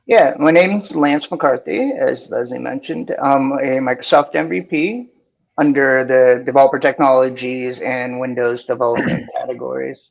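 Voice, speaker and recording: A man from Boston speaks with a faint Boston accent and a gravelly, creaky edge. He explains technical steps with enthusiasm, filling pauses with "um." The audio is slightly dull, like a remote call mic. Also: mid-range voice. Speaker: guest developer. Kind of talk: technical talk-show chat.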